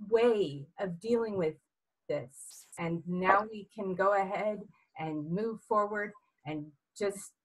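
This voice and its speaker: A woman's voice: medium pitch, poor audio. Woman rallying an online meeting. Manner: rallying, excitable. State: losing her voice. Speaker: meeting host.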